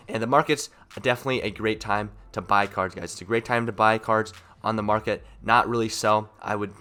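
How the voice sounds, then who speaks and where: A young man hosting a gaming channel, talking through in-game market updates in a rapid, rambling, upbeat way while sick, so his voice sounds off. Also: fairly low pitch, bright, forceful tone. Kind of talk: casual gaming market commentary.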